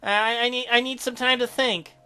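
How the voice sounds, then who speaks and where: A man voicing a weakened young merman who speaks in halting, pleading phrases. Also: very high-pitched, fairly even intonation, sharp tone, clean tone, pitched above the performer's own voice, full character voice.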